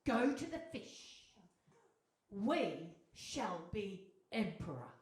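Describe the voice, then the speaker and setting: A woman's voice: mid-range voice, big pitch swings, very slow. An actress playing a haughty, pompous fisherman's wife who commands like royalty in a live radio play. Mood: insistent, indignant. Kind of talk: live radio theatre acting. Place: hall, live stage performance. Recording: distant mic in an echoey hall.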